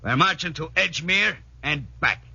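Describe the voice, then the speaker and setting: An actor playing a tough, sarcastic Irish commando sergeant major in a 1940s radio drama, with a rough, commanding voice. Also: male voice, a little high, animated, normal speed, bright, forceful tone, heavily acted. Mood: stern briefing. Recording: dull vintage 1940s radio recording.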